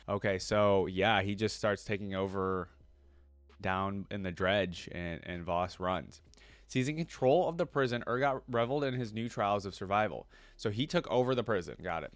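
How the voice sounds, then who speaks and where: A man's voice: on the low side, no room sound. A man making a reaction video, switching between reading lore aloud and informal, musing commentary. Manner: informal, pausing to explain and muse.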